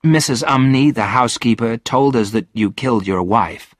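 A man's voice: normal speed, no room sound, clean studio sound, lightly acted. A man voicing a kind but outspoken young girl in a storybook, earnest and emphatic. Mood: earnest, reproachful. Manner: earnest, emphatic, raised pitch.

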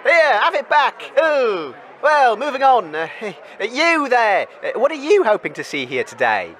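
A man's voice: extremely high pitch, measured pace, heavily acted. A man playing a bumbling, flustered roving reporter in a comedic radio drama. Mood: flustered, curious. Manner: flustered, hesitant, excitable. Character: excitable, bumbling male wasteland roving reporter. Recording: outdoor crowd ambience, faint noise.

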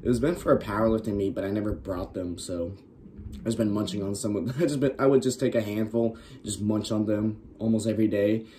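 Raspy young man hosting a reaction video. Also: lowish voice, fairly even intonation, fairly quick, warm tone, slight background noise. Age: young adult. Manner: chatty, lots of "like", laughs often. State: raspy, losing his voice from shouting. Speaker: reaction channel host. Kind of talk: casual reaction commentary. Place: small bedroom with LED lights.

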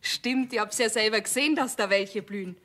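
A woman playing a brisk, strict but kindly mother in a children's radio play. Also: on the high side, a bit bright, close to an everyday voice. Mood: curious.

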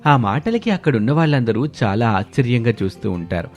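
A man's voice: medium pitch, very animated, a little fast, darkish tone. A man narrating a scripted moral story as a storyteller. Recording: background music under the voice.

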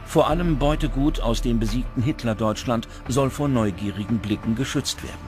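A man reading scripted documentary narration with dramatic, measured phrasing. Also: medium pitch. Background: background music.

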